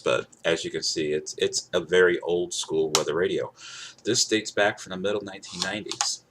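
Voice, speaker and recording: A man with a low voice talks casually to camera as he demonstrates a device. He rambles, circling back to the same points with emphasis. Some noise sits behind his voice. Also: steady tone, moderate pace. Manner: repeats himself, rambling, emphatic. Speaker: gadget reviewer. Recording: clear background noise.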